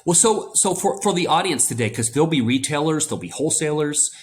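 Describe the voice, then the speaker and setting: A man's voice: a little high, animated, quick pace. A man hosting a business interview podcast, friendly and welcoming, talking in long run-on sentences. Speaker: podcast host. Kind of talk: conversational interview.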